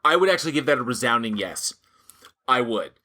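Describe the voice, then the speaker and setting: A man's voice: medium pitch, expressive, a little fast, bright, forceful tone. A man co-hosting a casual talk about horror films, rambling, swearing freely and laughing often.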